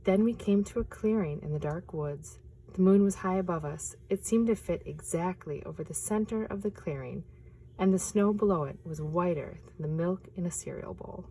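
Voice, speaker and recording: A woman reads a picture book aloud outdoors in a forest, her tone hushed and expressive. Her voice is mellow and sits a little low, and at times she sinks to a whisper. A faint hiss of noise sits under her words. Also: normal speed, low-quality recording. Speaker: nature preserve storyteller.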